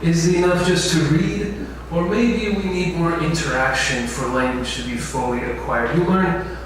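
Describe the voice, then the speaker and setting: A man's voice: fairly high pitch, moderate pace. A man teaching a lecture to a class, often putting questions to the room. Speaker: instructor. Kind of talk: info session lecture. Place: large echoey lecture room. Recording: very echoey room, distant mic, faint noise.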